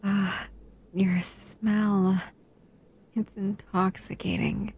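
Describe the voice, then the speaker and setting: A woman's voice: mid-range voice, flat delivery, drawn-out words, a little mellow, breathy edge, heavily acted. A woman voicing a captive female shadow monster who soothes and cuddles the listener in a close, intimate audio roleplay. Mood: tender, soothing. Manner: soothing, intimate, often whispering.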